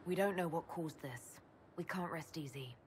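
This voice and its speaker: A woman's voice: low voice, moderate pace, slight background noise, natural voice. An actress playing a concerned, resolute female leader in a video game, speaking with urgency. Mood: cautious. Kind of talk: dramatic video game acting.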